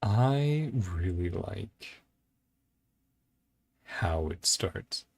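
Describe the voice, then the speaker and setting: A man's voice: deep voice, animated, drawn-out words, gravelly. A man giving casual, off-the-cuff reaction commentary, thinking aloud in short broken phrases. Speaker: host of a reaction and ranking video. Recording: music playing behind the voice.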